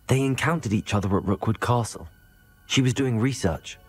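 A man's voice: mid-range voice, moderate pace, faint music behind, close to an everyday voice. An actor playing a polite, earnest young wizard student reporting news to his teachers in a game. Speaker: game protagonist.

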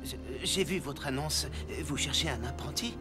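A man's voice: a little high, moderate pace, natural voice. A man playing a shy, gloomy young apprentice in a cartoon, hesitant, earnest and self-doubting. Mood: nervous. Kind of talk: French-dubbed cartoon acting. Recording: loud music underneath.

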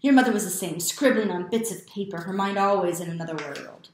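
A woman voicing a stern, bearded father who scolds loudly in a storybook reading. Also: fairly low pitch, fairly even intonation, a little slow, lightly acted. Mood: stern scolding. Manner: loud, scolding, barking. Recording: roomy echo.

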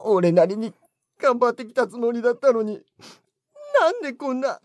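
A man's voice: very high-pitched, expressive, normal speed, no room sound. A man playing an emotional senior studio man with an intense, blunt manner in a Japanese audio drama. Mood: despondent. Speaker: voice actor.

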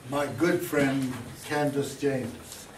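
Slow older man reciting poetry, roomy sound. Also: medium pitch, little pitch movement. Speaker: poetry reader. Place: small meeting room, indoors. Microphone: lectern mic, filmed by distant camera. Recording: roomy, distant mic, background noise.

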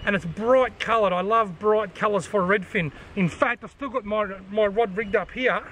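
Chatty Australian man, fairly high voice. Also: normal speed, fairly bright tone, slight background noise. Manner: chatty, practical, repeats key points for the viewer. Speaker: fishing channel host. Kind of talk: casual to-camera explainer. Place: outdoors at the edge of a forest. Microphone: camera on a tripod at chest height.

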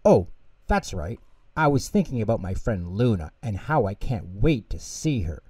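Man as a mellow, kindly big bear. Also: fairly low pitch, very animated, moderate pace, breathy edge, dry recording. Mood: thoughtful. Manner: reassuring, thoughtful, explanatory. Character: big kindly male bear, patient and affectionate. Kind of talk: children's storybook character reading.

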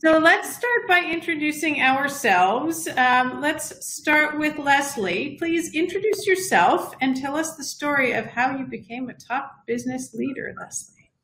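A woman moderating a video-call panel in a brisk, welcoming way, calling on each speaker. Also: high-pitched, expressive, a bit bright, slight background noise. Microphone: webcam on video call. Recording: echoey room over video call.

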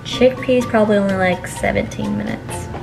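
A woman's voice: medium pitch, normal speed, gravelly. A young woman in her twenties vlogging casually in a car, a grocery store and her kitchen, chatty and a little wry and tired. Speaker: lifestyle vlogger. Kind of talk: casual vlog narration. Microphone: handheld camera close to face. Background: background music.

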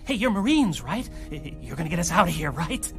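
A desperate, pleading prisoner in a motion comic drama. He stammers and repeats himself in nervous babble, his pitch swinging wildly. Heavy music plays behind his voice. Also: very animated, fairly quick. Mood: nervous, placating. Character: frightened, pleading male prisoner, jittery and desperate. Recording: voice over loud dramatic music.

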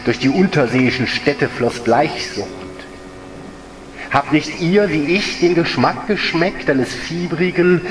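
Slow, solemn man reciting literature. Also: a little high, noisy background. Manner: solemn, declamatory. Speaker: author reading own work. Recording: echoey room, distant mic, music underneath. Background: music.

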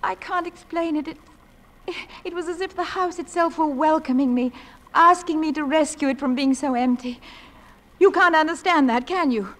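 A woman's voice: high voice, normal speed, fairly bright tone. An actress playing a genteel, spirited young mother with proper, polite manners in a theatrical radio play. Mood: upset, pleading. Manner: proper, polite, indignant when crossed. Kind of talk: theatrical radio drama acting.

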